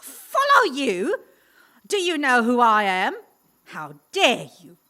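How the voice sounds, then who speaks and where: A woman's voice: sits high, huge pitch swings, slow pace, bright, forceful tone, heavily acted. A woman acting a haughty 1940s housewife in a radio drama, firing off demanding questions. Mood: indignant.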